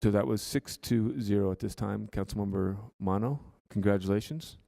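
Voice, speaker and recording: A man chairing a city council meeting, running votes and nominations in a measured, procedural way. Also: on the low side, vocal fry, mellow.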